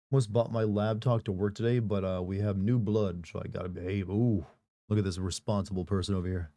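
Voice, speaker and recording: A hoarse man, his voice still coming back, rambles casually through a live stream, reading viewer messages and tossing off jokes. His tone is dark and mellow. The sound is dry and close, with no room echo. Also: lowish voice, fairly even intonation, moderate pace. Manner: rambling, casual, joking asides. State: hoarse, recovering voice.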